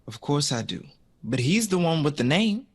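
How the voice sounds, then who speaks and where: Wry, hesitant friend, animated. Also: male voice, fairly high pitch, moderate pace, slightly rough, full character voice. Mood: cautious. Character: patient, wry, cautious male friend of the composer. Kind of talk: audio drama acting.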